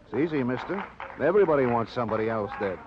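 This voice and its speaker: An actor as an edgy, excitable male crime suspect. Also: medium pitch, quick pace, lightly acted. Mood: guarded, sardonic. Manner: evasive, cynical, easily agitated. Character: edgy, defensive movie projectionist under police suspicion. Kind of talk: 1950s radio crime drama acting. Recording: muffled old radio recording with noise.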